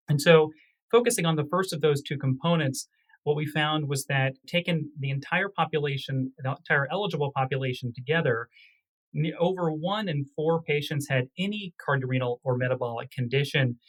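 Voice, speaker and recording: Male research author as podcast guest; formal, precise, articulate delivery at a middle pitch. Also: rough recording. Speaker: study author, interview guest. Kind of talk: prepared research explanation in an interview.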